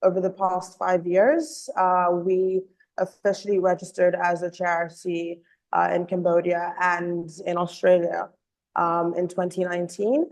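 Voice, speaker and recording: A woman giving an earnest online presentation as a communications officer, slightly hoarse from a cold, with frequent ums and uhs. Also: lowish voice, darkish tone, rough recording. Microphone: video call.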